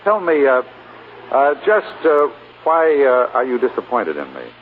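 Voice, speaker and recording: A man's voice: medium pitch, wildly swooping pitch, measured pace. A scratchy, narrow-band 1940s radio drama recording. a self-mocking, boozy Hollywood star with glib charm. His intonation swings widely as he hesitates and teases. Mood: playful. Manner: glib, hesitating, playfully wry.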